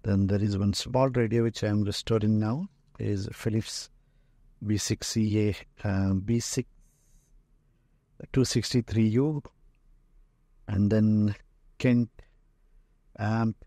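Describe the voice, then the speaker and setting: A man with an Indian accent giving an informal guided tour as a museum owner, speaking haltingly with frequent pauses. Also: fairly low pitch, steady tone, warm tone, no echo, clean studio sound. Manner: halting, pausing often mid-phrase. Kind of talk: informal narrated walk-through tour. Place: indoor museum and workshop room full of shelves.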